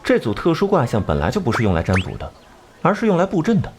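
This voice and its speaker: An actor playing an aloof young genius detective who explains things in a measured, condescending way in a Chinese animated drama. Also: male voice, on the high side, noisy background. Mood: grave, knowing. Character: cool, aloof male genius detective and occult scholar. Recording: music behind the voice.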